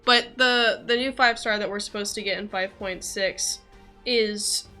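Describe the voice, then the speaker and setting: A woman game streamer chatting casually with viewers, rambling and thinking out loud. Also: mid-range voice, fairly even intonation, measured pace, faint music behind.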